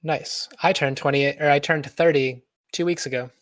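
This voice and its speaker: A man's voice: a little high, steady tone, moderate pace, fairly bright tone, no room sound, studio quality. A man of thirty chatting casually on a livestream, laid-back with self-deprecating humor. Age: 30s. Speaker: guest, web developer.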